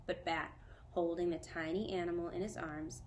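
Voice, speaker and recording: A woman teacher reading a children's novel aloud to a student, clear, measured and gentle. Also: fairly low pitch, little pitch movement, a little hiss or hum.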